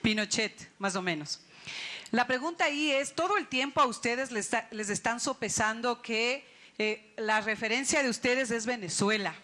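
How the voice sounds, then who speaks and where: A woman's voice: on the high side, normal speed, pushed and bright. A woman with an Ecuadorian accent asking a spontaneous question from the audience at a public event.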